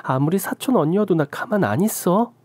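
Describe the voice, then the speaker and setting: A woman's voice: on the low side, lively intonation, a little fast, darkish tone, breathy edge, no room sound, pitched above the performer's own voice. A woman playing a trusting young wife who talks plainly and earnestly, in a dry recording. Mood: puzzled.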